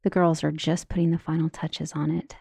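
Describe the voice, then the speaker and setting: A devoted maid voiced by a woman speaks in a hushed, soft and mellow voice with a creaky edge. She is earnest and deferential, confiding like a conspirator in an audio drama. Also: low voice, little pitch movement, a little fast, no room sound, clean studio sound, exaggerated voice. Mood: conspiratorial. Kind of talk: dramatic audio roleplay acting.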